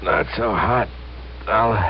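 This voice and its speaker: An actor with an American accent playing a weak, dying, regretful small-time crook, halting and faltering, in old radio drama style. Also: male voice, lowish voice, natural voice. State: failing, faltering. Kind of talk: 1950s-60s radio crime acting. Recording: muffled old radio recording, faint noise.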